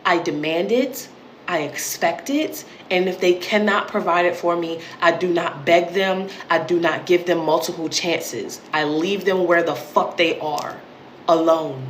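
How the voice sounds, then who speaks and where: A woman with a deep voice, talking straight to camera in a blunt, emphatic monologue with plenty of swearing. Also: a little slow, a little hiss or hum. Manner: blunt, emphatic and profane, with repeated punchy phrases. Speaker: online creator and educator. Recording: roomy echo.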